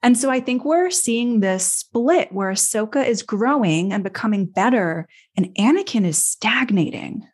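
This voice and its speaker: A woman co-hosting a podcast, talking casually about a TV series in an enthusiastic, expressive way. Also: on the high side, unhurried, hardly any pauses, breathy edge. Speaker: podcast co-host.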